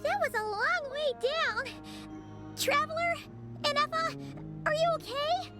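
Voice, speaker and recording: Tiny squeaky high-pitched fairy girl. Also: unhurried, over loud music. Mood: worried. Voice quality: squeaky, childlike. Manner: exclamatory, fussy. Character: tiny squeaky excitable female fairy companion, cheeky and anxious. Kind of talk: cartoonish video game voice acting.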